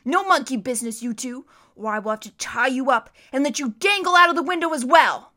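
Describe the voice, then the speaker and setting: A woman's voice: high-pitched, lively intonation, brisk, bright, forceful tone, pitched above the performer's own voice. A woman reading the lines of a blunt, threatening male henchman.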